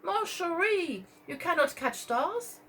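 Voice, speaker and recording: A woman's voice: extremely high pitch, very animated, slow pace, sharp tone, a little hiss or hum, voice pushed up, exaggerated voice. A woman playing amused, playful French women picking olives in a children's storybook. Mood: amused, teasing. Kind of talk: storybook character voice.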